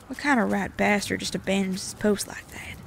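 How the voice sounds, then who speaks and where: A gruff, stubborn Wild West sheriff from an audio drama, played by a woman. The speech is terse and irritable, with plenty of vocal fry. A little noise is behind it. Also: medium pitch, brisk, close to an everyday voice. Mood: irritated.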